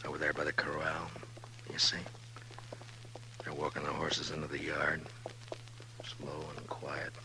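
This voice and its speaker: Stern Old West lawman, very deep, terse, grave, American, 1950s radio drama, slight hiss. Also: completely flat delivery, measured pace, creaky, long pauses, heavily acted. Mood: grave, watchful. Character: weary, stern frontier lawman. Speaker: actor in radio western. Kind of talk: 1950s radio western acting. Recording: noisy 1950s radio recording.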